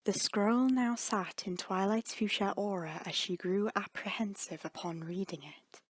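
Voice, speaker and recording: A woman storyteller reading scripted fiction dramatically, with suspenseful, breathy phrase endings. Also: medium pitch, steady tone, unhurried, no echo.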